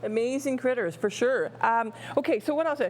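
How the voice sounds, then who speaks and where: A woman interviewing casually on a TV show, informal and quick to interject short reactions. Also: on the high side, a little hiss or hum.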